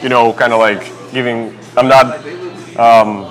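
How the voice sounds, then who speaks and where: A young man from Serbia, a basketball player, answering interview questions in a halting way with many fillers and phrasing. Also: medium pitch, steady tone, faint music behind, low-quality recording. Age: young adult.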